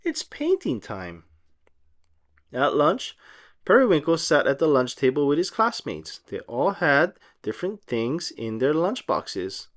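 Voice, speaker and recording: Children's read-aloud narrator: expressive storyteller, very lively intonation. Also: medium pitch, a little slow. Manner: expressive, sing-song storytelling. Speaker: storybook reader for young children. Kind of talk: animated read-aloud of a children's picture book.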